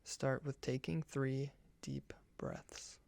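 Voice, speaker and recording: A soft, hushed man guiding a meditation in ASMR style, speaking gently in measured short phrases. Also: medium pitch, steady tone, gravelly, a little hiss or hum.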